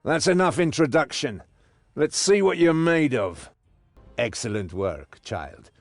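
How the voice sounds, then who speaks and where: An actor playing a stern, commanding old mentor in a game cutscene, with theatrical delivery. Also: male voice, on the high side, big pitch swings, a little slow, no room sound, soft background music. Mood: testing, instructive. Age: older. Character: stern old male mentor, servant of a master. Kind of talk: scripted game cutscene acting.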